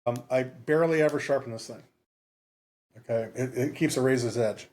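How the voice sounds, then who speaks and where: A man's voice: mid-range voice, normal speed, noisy background. A man in his fifties co-hosting a podcast, chatting casually about books in a rambling way and repeating himself for emphasis. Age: 50s. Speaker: podcast co-host. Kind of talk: casual book discussion.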